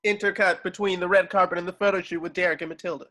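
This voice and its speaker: A man's voice: high voice, steady tone, rapid-fire, pushed and bright. A man reading stage directions as narrator in an amateur table read, now and then stumbling over words. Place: home, on a group video call.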